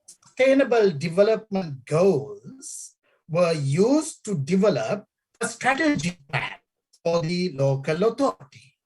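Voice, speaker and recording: A woman's voice: on the low side, very animated, slow, darkish tone, breathy edge, low-quality recording. A middle-aged woman from Sri Lanka, an academic talking through her research in a hesitant way, with many fillers and repeated key phrases. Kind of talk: conversational interview.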